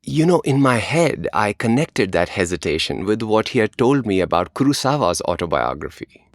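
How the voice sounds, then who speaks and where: A man's voice: fairly low pitch, big pitch swings, normal speed, no echo, clean studio sound. A man co-hosting a podcast, reading conversational narration. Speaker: podcast co-host.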